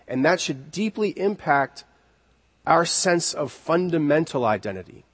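A man preaching an expository sermon in an earnest, deliberate way, repeating key words for emphasis. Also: a little high, sing-song, a bit bright, husky. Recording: long reverberant hall echo.

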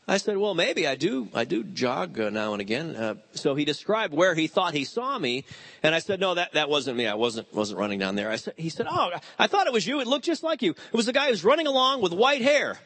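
Lively man in his fifties preaching. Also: a little high, a little fast. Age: 50s. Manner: animated storytelling with quoted voices. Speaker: pastor. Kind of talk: sermon.

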